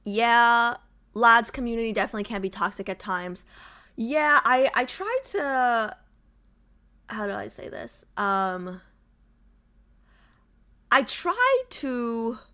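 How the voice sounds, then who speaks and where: A woman's voice: a little high, sing-song, slow pace, long pauses, sharp tone. A young adult woman streamer chatting casually with her viewers, bubbly and full of fillers. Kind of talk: casual chat with live chat.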